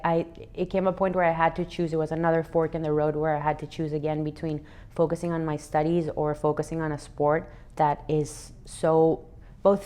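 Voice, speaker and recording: Woman, thirties, from Venezuela, interview guest; low voice, reflective, earnest. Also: dark tone, clean tone. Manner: earnest, reflective, frequent restarts. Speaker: interview guest, athlete and fitness educator. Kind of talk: relaxed interview answers.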